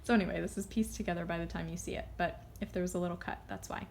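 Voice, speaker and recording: A woman giving a casual, unscripted card reading, rambling and halting as she drifts off on tangents. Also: lowish voice, steady tone, vocal fry, darkish tone, a little hiss or hum. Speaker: tarot card reader.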